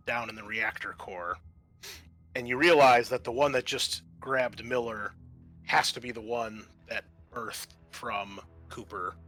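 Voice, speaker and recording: A man's voice: mid-range voice, sharp tone, low-quality recording. A man narrating a tabletop roleplaying game as game master, explaining things and addressing the players directly. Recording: music underneath the voice.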